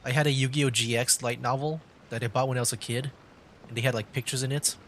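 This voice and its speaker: A man casually commentating on a game livestream in a hesitant, halting way, often repeating himself. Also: mid-range voice, steady tone, slight background noise. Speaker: streamer playing a video game. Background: game music.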